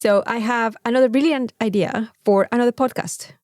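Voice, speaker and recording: A Mexican woman with a native Spanish background, co-hosting a podcast in casual, comedic chat with a playful, sweary, animated storytelling manner. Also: on the high side, fairly quick, no echo, studio quality. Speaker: podcast co-host.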